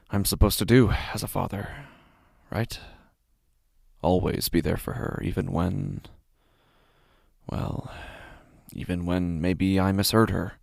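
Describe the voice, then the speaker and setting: A man acts out a devoted father whose worry has turned obsessive, narrating a horror audio drama. His voice is low and full of creak, recorded very dry. He speaks haltingly and intensely, as if trying to justify himself. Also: no echo, full character voice. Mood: insistent, uneasy. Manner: hesitant, halting, intense, sighing. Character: devoted, anxious, obsessive father of a young daughter.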